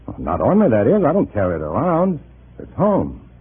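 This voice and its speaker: An actor playing a nervous, hesitant undercover agent posing as a loyal comrade in a 1950s radio drama. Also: male voice, mid-range voice, huge pitch swings, normal speed, breathy edge, exaggerated voice. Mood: guarded, evasive. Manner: hesitant, stammering under pressure. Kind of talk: 1950s radio drama acting with first-person narration. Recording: tinny, noisy old radio recording.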